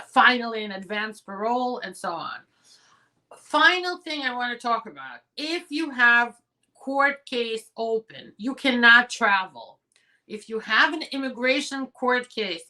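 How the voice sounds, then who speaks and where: A woman in her forties, an immigration lawyer, explains legal options to viewers in a quick, conversational way, checking in with "right?" and "okay?" while her voice is going hoarse. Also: on the high side, pushed and bright, low-quality recording. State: losing her voice. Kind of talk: explanatory video talk to viewers. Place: home office, indoors.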